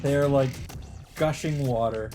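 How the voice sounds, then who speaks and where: Let's player, mid-pitched voice, dramatic visual novel read with casual asides, music clearly behind. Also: a little slow, mellow.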